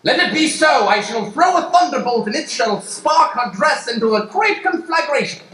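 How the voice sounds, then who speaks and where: Man playing a high-pitched, grandiose mischievous god. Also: lively intonation, normal speed, pushed and bright, distant mic, full character voice. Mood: gleefully scheming. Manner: mock-archaic, declamatory. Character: grandiose, mischievous male god meddling in mortals' lives. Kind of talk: improvised mock-classical stage comedy. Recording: noisy, echoey stage pickup from afar.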